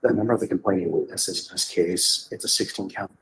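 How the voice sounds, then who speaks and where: A man speaking as defense attorney in a courtroom, measured and explanatory, with some fillers. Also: low-pitched, steady tone, a bit bright, slight background noise, rough recording. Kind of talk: courtroom status report.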